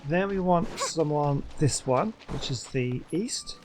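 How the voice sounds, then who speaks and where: A man giving casual, low-key gameplay commentary, musing aloud as a video host. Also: lively intonation, slow pace, over music.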